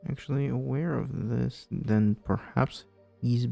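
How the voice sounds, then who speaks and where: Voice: medium pitch, takes it slowly, creaky, mellow, faint music behind. A game streamer casually reacting and reading aloud while playing.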